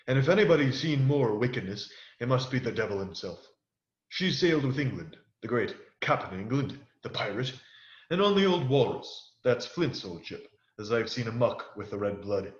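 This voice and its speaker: A man's voice: medium pitch, sing-song, normal speed, natural voice. A man playing a wily, swaggering pirate ship's cook in a radio play, talking in boastful, salty pirate dialect. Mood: jovial, boastful. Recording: echoey room, dull muffled sound.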